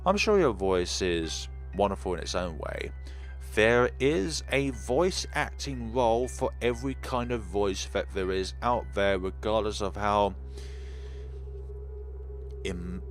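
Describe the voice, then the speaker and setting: A young man streaming a game, chatting in a calm, relaxed, low-key way. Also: takes it slowly, soft background music. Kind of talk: casual let's play chat.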